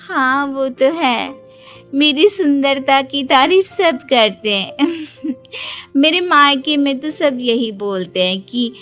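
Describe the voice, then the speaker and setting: A woman's voice: high voice, takes it slowly, fairly bright tone, faint music behind. A woman playing a self-pitying, boastful young Indian daughter-in-law in a cartoon family drama, in a theatrical, whining manner. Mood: smug, giggly.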